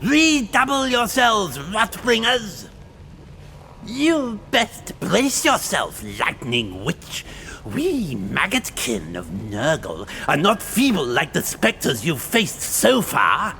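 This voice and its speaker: An actor playing a fretful, boastful plague-worshipping villain in a fantasy game, fussing theatrically. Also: male voice, high-pitched, huge pitch swings, slow pace, creaky, pushed and bright, a little hiss or hum, full character voice. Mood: anxious bluster.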